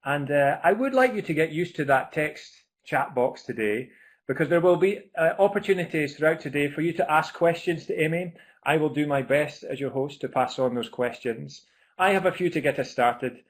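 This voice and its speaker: A man's voice: a little high, lively intonation, moderate pace. A man with a Scottish accent hosting a live webinar on a video call, chatty and upbeat, with frequent ums and uhs. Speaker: webinar host.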